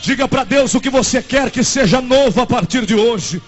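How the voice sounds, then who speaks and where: A man's voice: very high voice, normal speed, muffled recording, soft background music. A young man in his twenties with a Brazilian Portuguese accent, preaching a fervent and emotional sermon as a pastor. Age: 20s.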